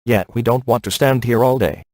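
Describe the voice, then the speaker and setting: Game role: man as grumbling everyman ghost hunter, mid-pitched, streetwise, closely recorded with no room sound. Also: moderate pace, a little mellow, no echo, studio quality, lightly acted. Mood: concerned. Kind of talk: video game voice acting.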